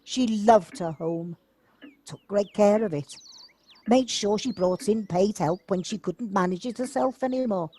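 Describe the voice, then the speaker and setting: A woman acting in an audio play as a kindly, fondly reminiscing villager, with a slightly husky voice. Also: medium pitch, measured pace, dark tone. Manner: fond, reminiscing.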